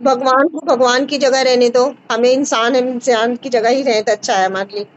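Woman, high voice, reassuring host, video call from home, lo-fi sound. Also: steady tone, moderate pace. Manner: reassuring, repeats short checks like "है ना?". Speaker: host advising a caller on a skin remedy. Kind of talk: live video call, casual advice. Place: indoor home room with curtains. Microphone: phone front camera on a video call.

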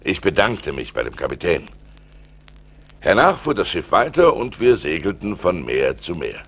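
An actor playing an adventurous seafarer who recounts his perils in dramatic, intense audio play narration, swinging from hushed to urgent. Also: deep voice, huge pitch swings, moderate pace, big-room sound, heavily acted. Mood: measured recounting. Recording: noisy vinyl record transfer.

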